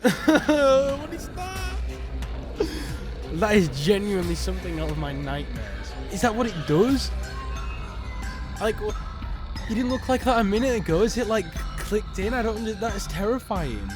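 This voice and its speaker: A man's voice: high-pitched, very animated, normal speed, music in the background. A man giving casual, exclamatory and incredulous live reaction commentary as host of a reaction video.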